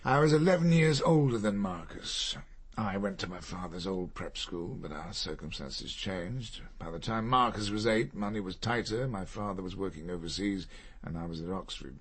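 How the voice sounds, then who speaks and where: A grave middle-aged barrister, radio play, deep husky voice, formal and reserved. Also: male voice, animated, moderate pace. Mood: guarded, reflective. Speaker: actor in a radio drama. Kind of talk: radio crime drama acting.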